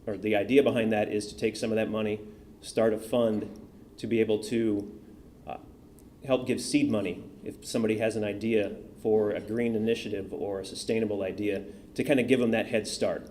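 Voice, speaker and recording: A man's voice: lowish voice, fairly even intonation, brisk, a little mellow, a little hiss or hum. A man hosting an event, giving upbeat announcements and talking straight to the crowd. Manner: upbeat, addresses crowd directly. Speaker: event host. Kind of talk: announcements to audience. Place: event hall.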